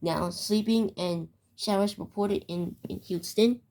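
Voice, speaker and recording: A woman's voice: mid-range voice, measured pace, gravelly, heavy background noise. A woman delivering an amateur mock emergency broadcast as a news anchor, stumbling and repeating phrases as she goes.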